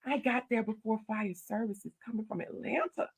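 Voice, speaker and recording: A middle-aged woman talks in a loud voice with plenty of gravel in it, pitched a little high. She tells her stories in an animated way and acts out her own replies as she goes. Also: moderate pace. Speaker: county commissioner. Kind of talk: casual interview talk.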